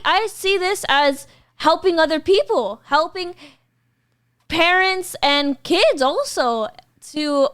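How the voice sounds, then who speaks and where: A young woman with a notably high voice co-hosts a family podcast from her gaming room. She talks in a loose, animated way and jokes boastfully. Her voice is close to a studio mic. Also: no echo. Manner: playful, boastful joking, animated. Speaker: podcast co-host. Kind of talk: casual family podcast chat. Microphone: studio mic on boom, headset.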